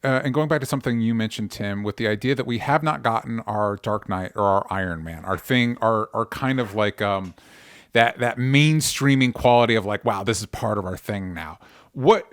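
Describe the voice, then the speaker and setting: A man's voice: mid-range voice, expressive, moderate pace, fairly bright tone, no echo. Casual male podcast host, dry sound. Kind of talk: casual conversational hosting.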